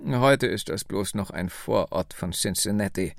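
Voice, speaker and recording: A man reading the lines of a wry, nearly hundred-year-old woman telling her family's story, slowly and slyly. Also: on the low side, vocal fry, no echo, clean studio sound, voice pushed down. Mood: reminiscing. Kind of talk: radio book reading.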